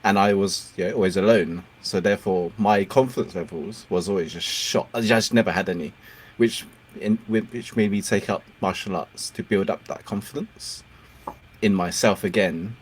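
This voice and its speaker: A man's voice: low-pitched, normal speed, husky, rough recording. A man whose first language is Cantonese, hosting a casual interview, encouraging and laughing often. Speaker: podcast host.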